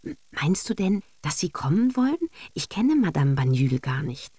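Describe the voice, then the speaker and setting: An anxious middle-aged wife acted out by a woman in an audiobook, fretful and easily shocked, hungry for social standing. She murmurs and sighs with a creaky edge, very close and dry. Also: fairly low pitch, sing-song, normal speed, dark tone, no echo, exaggerated voice. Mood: awed, unsure. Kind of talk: literary audiobook character acting.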